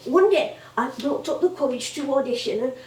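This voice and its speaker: Recorded from a distance in a roomy theatre, a woman plays an elderly Northern English music-hall actress. Her voice is high, and she rambles fondly and proudly, full of exclamations, in a stage-play style. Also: animated, normal speed. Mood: chatty, amused. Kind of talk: theatrical monologue-like reminiscing.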